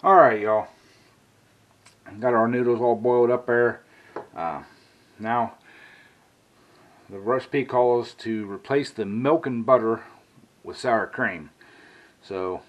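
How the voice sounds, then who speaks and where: A man's voice: medium pitch, slow, long pauses, dull audio. A middle-aged man, rambling in a folksy way as he cooks and talks in his home kitchen. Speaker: cooking vlogger. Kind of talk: casual cooking vlog.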